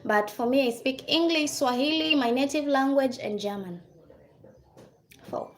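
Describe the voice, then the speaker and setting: A woman's voice: high-pitched, a little slow. A woman with a Kenyan accent hosting a casual livestream, chatting and answering viewers' comments. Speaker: livestream host.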